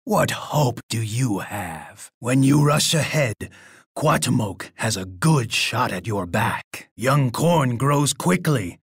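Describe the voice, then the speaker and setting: An actor playing a boastful, menacing Aztec emperor in a video game, with a gravelly, raspy voice and a pompous way of speaking. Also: male voice, medium pitch, lively intonation, slow pace, no echo, studio quality, exaggerated voice. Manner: pompous, speaks of himself in third person. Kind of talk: theatrical video game voice lines.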